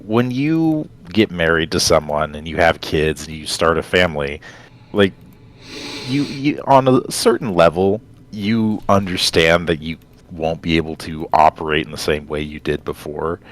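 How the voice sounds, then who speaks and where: Low-voiced man thinking aloud. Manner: halting, rambling, thinks aloud. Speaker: podcast co-host. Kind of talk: casual reflective conversation.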